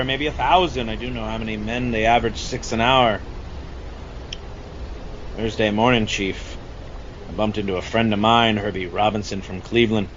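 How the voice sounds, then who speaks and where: A man's voice: fairly low pitch, a bit bright, close to an everyday voice. A man playing a weathered, hard-drinking old shark hunter and haunted war veteran in an amateur audio adaptation of a film scene, with a salty, folksy storytelling manner. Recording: dull, noisy lo-fi recording.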